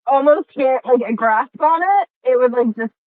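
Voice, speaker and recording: A woman's voice: high-pitched, animated, normal speed, no echo. A woman playing a naive, curious mechanical being in a tabletop game, hesitant and full of wonder. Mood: puzzled, wondering. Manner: hesitant, wondering, peppered with questions. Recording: muffled tinny phone-like audio from a car.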